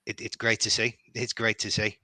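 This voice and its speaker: Scouse man, middle-aged, guesting on a fan podcast; bright tone, stumbling repeats, dry close recording. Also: on the low side, monotone, moderate pace, no room sound. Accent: Liverpool. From Liverpool. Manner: hesitant, repeats words, warm praise. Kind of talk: casual podcast chat.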